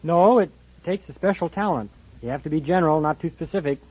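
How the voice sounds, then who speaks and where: American man, twenties, higher-pitched contestant, matter-of-fact, dull vintage radio recording. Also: fast, slightly rough. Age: 20s. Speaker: quiz show contestant, greeting card writer. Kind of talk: interview answers. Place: radio studio before an audience. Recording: muffled vintage radio broadcast.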